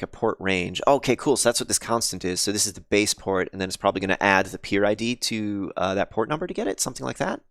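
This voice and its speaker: Guy on a coding stream, kinda low smooth voice but a bit scratchy n sniffly, lots of ums, dry close mic. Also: fairly bright tone, dry recording. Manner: thinks aloud, many ums and restarts, chats with viewers. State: slightly scratchy voice, sniffly after illness. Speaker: game developer streaming live. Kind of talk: casual live-stream coding chat.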